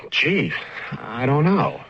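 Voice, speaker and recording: An actor playing a nervous, evasive test candidate, hesitant and sheepish, in a comic radio sketch. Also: male voice, medium pitch, sing-song, takes it slowly, vocal fry, background noise, full character voice. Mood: sheepish, unsure. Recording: muffled old radio recording.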